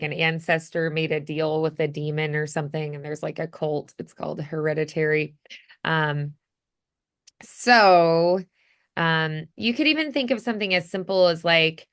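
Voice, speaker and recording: A woman's voice: sits low, a bit bright, clear, resonant tone. A woman teaching voice acting in a casual one-on-one chat, thinking aloud hesitantly and saying "like" often.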